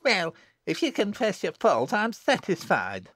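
A man voicing a spiteful, peevish little girl with a sharp, taunting tongue and a Lancashire accent, as an audiobook character. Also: sits high, wildly swooping pitch, a little slow, husky, no room sound, studio quality, pitched above the performer's own voice, heavily acted. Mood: gloating.

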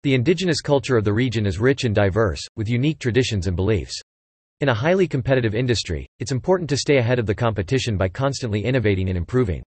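A man reading English example sentences aloud for listening practice, in an even, clipped delivery. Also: medium pitch, fast, slightly rough, no room sound.

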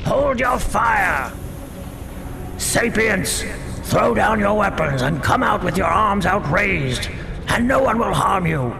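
An actor playing a stern, scheming alien gang captain in a pulp sci-fi audio drama, commanding and menacing. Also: male voice, high-pitched, animated, slow pace, vocal fry, noisy background, full character voice.

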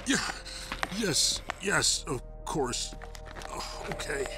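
An actor playing an anxious, placating doctor in an audio drama, hesitant and stammering. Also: male voice, fairly high pitch, big pitch swings, extremely slow, over loud music, heavily acted. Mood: nervous pleading. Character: anxious, pleading male doctor, placating and evasive.

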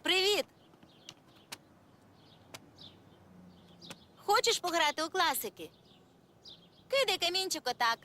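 A woman's voice: very high voice, big pitch swings, moderate pace. A woman voicing friendly, caring female characters in a children's cartoon, with sing-song, encouraging talk to a small child. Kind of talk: children's cartoon dub acting. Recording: music behind the voice.

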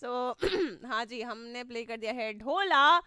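A woman's voice: fairly high pitch, animated, takes it slowly, bright, forceful tone, clear, resonant tone, no room sound, studio quality. A young woman with a sore throat hosting a morning radio show, reading listener messages in a chatty way, laughing often and slipping into English. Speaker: radio host. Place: radio studio. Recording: music playing underneath.

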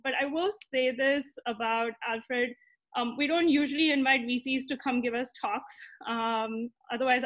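Bright, high-voiced woman introducing speakers. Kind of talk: casual spoken introduction. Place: video call. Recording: dull, band-limited video call audio.

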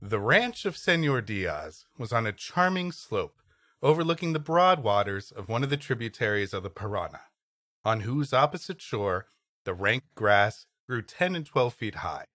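Bright-voiced, animated man co-hosting. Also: mid-range voice, normal speed, dry recording, clean studio sound. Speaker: podcast co-host. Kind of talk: comic banter and story reading.

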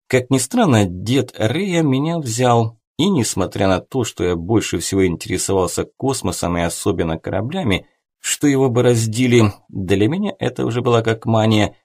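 Casting: male audiobook narrator, lowish pitch, rough-edged husky tone, for first-person novel reading. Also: a little mellow.

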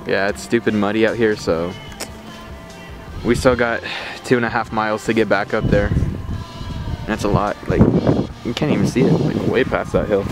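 A man's voice: on the low side, steady tone, moderate pace, pauses often, music in the background, poor audio. A young man narrating a casual hiking vlog outdoors on a handheld camera, talking in a laid-back, slangy, rambling way. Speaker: vlogger. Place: outdoor hillside trail.

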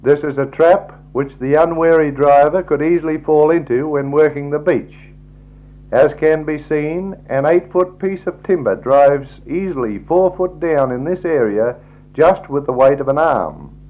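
A man's voice: a little high, measured pace. Australian man narrating on dull vintage tape. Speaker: slideshow narrator. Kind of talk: 1960s scripted travelogue narration. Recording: dull, lo-fi 1960s tape recording.

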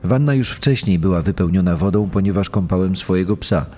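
Male actor for accused murderer in true crime drama, very low and mellow, deliberate confession, reverberant. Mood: grave, confessing. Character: male accused child killer giving self-justifying court testimony. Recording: long echoing hall reverb.

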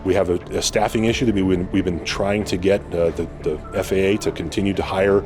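An American man, a union official, speaking haltingly in an unscripted press interview. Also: deep voice, fairly even intonation, darkish tone, soft background music.

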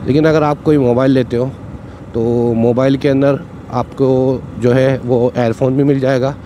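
A young man chats and explains as he rides a motorbike through town, his voice sitting in a middling register. Steady traffic and road rumble run clearly under his words. He speaks into a cheap mic worn on his body. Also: measured pace. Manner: chatty, explanatory, repeats "ठीक है". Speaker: moto vlogger. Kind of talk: casual vlog talk while riding. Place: riding a motorcycle on city roads outdoors. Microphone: cheap wired external mic on rider. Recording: traffic noise from riding outdoors.

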